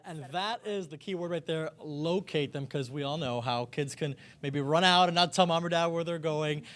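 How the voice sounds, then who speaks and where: A man's voice: fairly high pitch, moderate pace, fairly bright tone. A man reporting live for a morning TV show, chatting enthusiastically as he demonstrates things. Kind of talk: live TV segment, chatty product demo.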